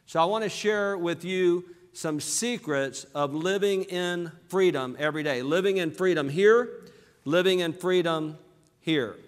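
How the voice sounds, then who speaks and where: A man from southern Alabama with a Southern American accent giving an energetic motivational business seminar, calling out to his audience for responses. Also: sits high, measured pace, smooth. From southern Alabama, USA. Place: large hall with live audience. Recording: reverberant hall.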